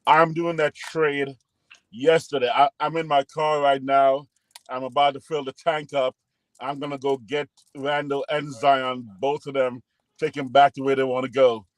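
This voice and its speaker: A man's voice: mid-range voice, level tone, normal speed, no echo. A man co-hosting a podcast, rambling and joking through a casual sports debate over a call and talking over others. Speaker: podcast co-host.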